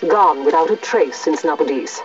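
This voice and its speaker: A woman's voice: deep voice, moderate pace, darkish tone, close to an everyday voice. A woman playing a severe, outspoken imperial judge in a fantasy video game, grave, challenging and formal. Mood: accusing, grave. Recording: distant, muffled game audio under music.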